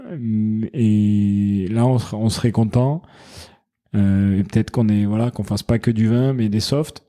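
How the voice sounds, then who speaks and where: A man with a mellow, relaxed tone chats as a podcast guest. He explains his business earnestly and works his thoughts out as he speaks, in an easygoing conversational way. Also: lowish voice, unhurried. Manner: earnest, explanatory, thinks aloud mid-sentence. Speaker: founder of a wine company, podcast guest. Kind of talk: casual interview chat.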